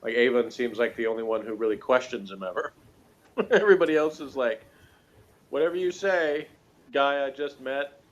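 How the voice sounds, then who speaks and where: A man with a medium-pitched voice talks through a review in a loose, conversational way. He rambles and pads his points with small filler phrases. The recording is dull and a little lo-fi. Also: expressive, moderate pace. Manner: rambling, hedging, conversational, with frequent "you know" and "like". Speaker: guest reviewer of a TV series. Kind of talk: casual review chat.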